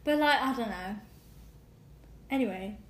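A woman's voice: fairly high pitch, expressive, unhurried. A woman, chatting casually as a podcast co-host, swearing freely and laughing often. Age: 20s. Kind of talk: casual chat among friends. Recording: roomy room with faint background noise.

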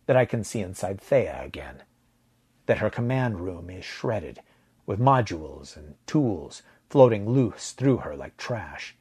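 A man narrating a science fiction story in the first person, with a measured, dramatic delivery. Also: medium pitch, mellow, husky, dry recording, clean studio sound.

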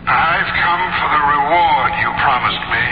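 An actor playing a threatening old seafarer in an old-time radio drama. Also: male voice, on the high side, measured pace, loud music behind the voice, close to an everyday voice. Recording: muffled, very noisy old radio recording.

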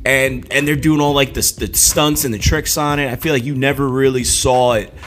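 A man's voice: mid-range voice, steady tone, moderate pace, noisy background. Rambling, profane man in his forties. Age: 40s. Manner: rambling, loose and profane, heavy on "like". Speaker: podcast co-host. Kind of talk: casual podcast chat.

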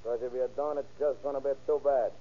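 A man's voice: medium pitch, steady tone, quick pace, lightly acted. A bullying male gang boss played by a radio actor barks curt threats in gangster slang. The sound is narrow and phone-like, an old 1930s crime broadcast. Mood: domineering.

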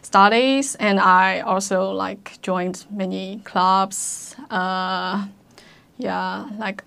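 A young woman whose first language is Chinese speaks as a podcast guest, taking her time in English at a slow, unhurried pace. She stops and restarts often, repeating words as she looks for the next one, in a voice of middling pitch. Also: steady tone, gravelly, fairly bright tone, smooth. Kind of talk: casual interview chat.

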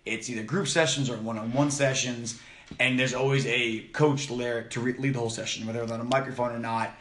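A man co-hosting a casual podcast chat, outgoing and loud, teasing his friend as he talks. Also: mid-range voice, steady tone, brisk, slight background noise. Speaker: podcast co-host. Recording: roomy echo.

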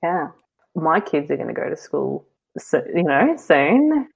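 Low-voiced woman, reflective chat. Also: big pitch swings, unhurried, creaky, slightly rough, poor audio. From New Zealand. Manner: open, rambling, self-reflective with frequent fillers and soft laughs. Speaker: guest. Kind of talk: casual conversational interview.

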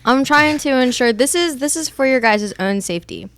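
A woman's voice: high-pitched, lively intonation, moderate pace, a bit bright. A young adult woman guest-hosting a podcast, chatting casually in a playful, teasing and boastful way.

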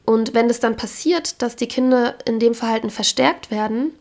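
A woman hosting a podcast, speaking frankly and with opinions while hoarse from a cold. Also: fairly high pitch, normal speed, darkish tone. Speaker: podcast host. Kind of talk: casual solo podcast talk.

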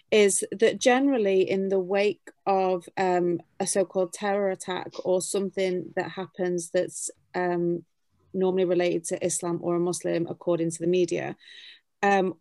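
A woman with a fairly low, flat-toned voice, recorded dry. She poses questions in an informal discussion and picks her words with care. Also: no room sound. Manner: careful, hedged phrasing. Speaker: panel questioner.

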